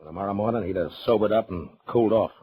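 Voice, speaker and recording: A man's voice: on the low side, fast talker, highs cut off, lightly acted. A man with an American accent playing a grim, stubborn middle-aged marshal in a radio western, terse and authoritative. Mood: stern anger. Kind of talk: mid-century radio western acting.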